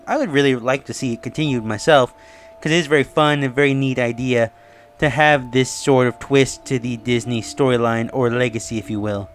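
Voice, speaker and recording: A man's voice: medium pitch, fairly bright tone, smooth. A man hosting an online review, musing conversationally through his opinions and theories with frequent asides. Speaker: online video reviewer and host. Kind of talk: casual review and theory commentary.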